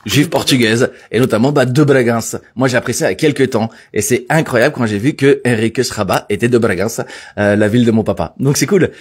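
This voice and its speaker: Man, live show host, husky voice, relaxed chatty delivery, casual fillers. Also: medium pitch, fast talker. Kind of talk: casual live broadcast chat.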